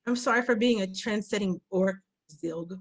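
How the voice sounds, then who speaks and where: Casting: actress as pretentious arty orc, prim, fretful, medium pitch, fantasy comedy read. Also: female voice, moderate pace, lightly acted. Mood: huffy. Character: fussy, pretentious, easily frazzled arty orc.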